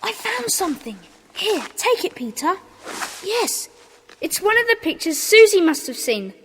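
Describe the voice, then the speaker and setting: A woman's voice: very high voice, sing-song, a little slow, a little hiss or hum, heavily acted. A woman playing a bold, bossy, eager schoolboy detective in a children's audio drama. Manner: eager, urgent, exclamatory. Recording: long echo of a stone dungeon.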